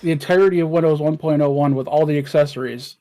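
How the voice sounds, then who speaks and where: Young male co-host, slightly high, mellow tone, eager tech explainer. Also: fast. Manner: explains tech details eagerly, restarts phrases. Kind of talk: casual chat.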